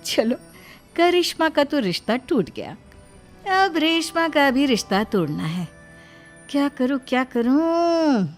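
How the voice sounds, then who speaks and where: A woman's voice: high-pitched, big pitch swings, slow, faint music behind, voice pushed up, full character voice. A woman voicing a greedy, scheming young sister-in-law in a storybook tale, conniving and full of self-pity. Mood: gleeful plotting.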